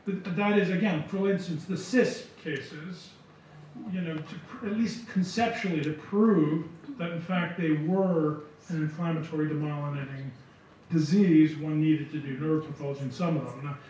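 A middle-aged man giving relaxed answers at a lecture hall podium, repeating words as he thinks. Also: fairly high pitch, moderate pace. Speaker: visiting lecturer answering questions. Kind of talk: conference Q&A answers. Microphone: podium mic from far. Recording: echoey hall, distant dull sound, faint hiss.

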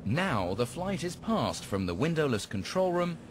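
A man's voice: fairly high pitch, sing-song, a little slow, noisy background. A man reading scripted narration for a television documentary. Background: music.

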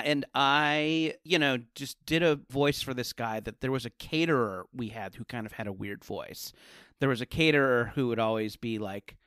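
A man's voice: medium pitch, a bit bright, clear, resonant tone, dry recording, studio quality. A chatty, enthusiastic man talking casually as a guest in a podcast interview. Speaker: podcast guest, comedy writer.

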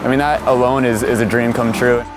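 Young American-born male driver, quick and eager, music loud underneath. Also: mid-range voice, flat delivery. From USA. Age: 20s. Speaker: racing driver.

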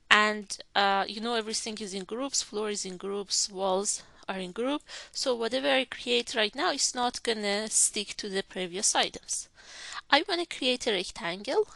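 Bright-voiced woman teaching a software tutorial. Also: medium pitch, vocal fry, dry recording. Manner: step-by-step, talks while clicking, thinking aloud. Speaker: instructor. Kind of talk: screen-recorded software tutorial.

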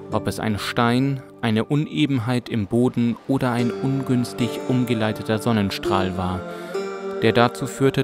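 A man reads a fantasy audiobook in a calm, measured way. His voice sits fairly low, and a clear musical score runs beneath the narration. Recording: music bed under narration.

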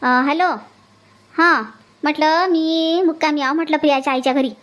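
A woman's voice: high voice, fairly even intonation, normal speed, a bit bright. A woman voicing a homely, practical middle-aged village housewife in a comic cartoon, chatty and fussing, with a Varhadi Marathi accent. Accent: Varhadi Marathi from Vidarbha.

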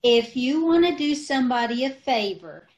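A woman acting a scatterbrained, innocent-sounding wife in an old-time radio comedy, deadpan and unhurried, over a video call. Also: high voice, muffled recording. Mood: innocently evasive. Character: scatterbrained, artfully innocent wife, mangles sayings. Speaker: amateur radio troupe actor.

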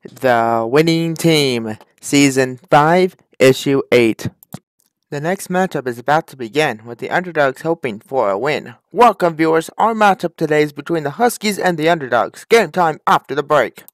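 A man's voice: fairly high pitch, lively intonation, normal speed, no echo. A man reading scripted narration and match commentary for a comic dub, in short clipped phrases.

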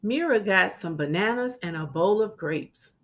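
A woman reading a children's storybook aloud as a teacher would, expressively and with clearly enunciated words for young listeners. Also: medium pitch, animated, moderate pace, fairly bright tone.

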